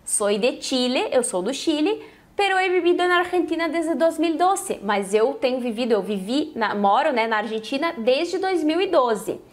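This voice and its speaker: A woman's voice: high voice, animated, normal speed. A Brazilian woman, a native Portuguese speaker, teaching Spanish in an informal, conversational lesson style with frequent check-in tags. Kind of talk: informal language lesson, explaining and translating example phrases.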